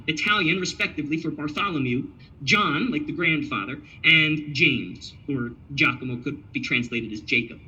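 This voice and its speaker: Bright-voiced man giving an emphatic lecture. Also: on the high side, slightly rough, lo-fi sound. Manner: emphatic, with Italian words. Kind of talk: scripted lecture.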